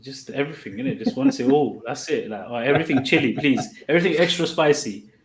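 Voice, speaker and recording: A man jokes and teases his way through a casual podcast chat. His voice is mid-pitched. Crowd noise is clearly heard behind him. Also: lively intonation, quick pace. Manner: joking, teasing.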